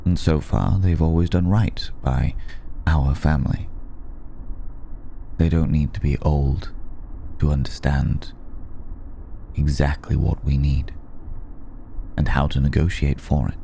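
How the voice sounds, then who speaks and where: A man playing an ancient, courteous vampire in an intimate ASMR roleplay, patient and seductive, with halting, deliberate, formal phrasing. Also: rumbling low, fairly even intonation, creaky, dark tone, a little hiss or hum, heavily acted. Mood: measured, confiding.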